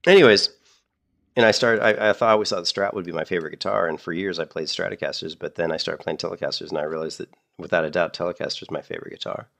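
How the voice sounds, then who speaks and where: A man in a casual, rambling chat about guitars, losing his voice a little and clearing his throat often. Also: low voice, fairly even intonation, a little fast, pauses often, a bit bright. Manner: rambling, repeats himself, frequent throat clearing. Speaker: streamer.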